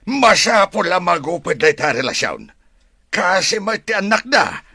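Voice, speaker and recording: Exasperated family man, slow bright voice, ilocano radio drama, real dry sound. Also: high voice, very animated, gravelly, husky, no echo, heavily acted.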